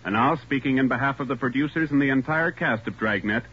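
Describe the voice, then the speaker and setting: A man's voice: medium pitch, fast talker, husky. An American man announcing on radio in a formal, scripted 1940s style. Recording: muffled 1940s radio broadcast.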